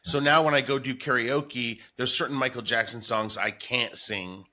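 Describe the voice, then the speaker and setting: A man's voice: mid-range voice, fairly even intonation, moderate pace, pushed and bright. A middle-aged man talking casually and enthusiastically as a guest in an interview, reminiscing in a chatty way. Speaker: radio morning show comedian, guest.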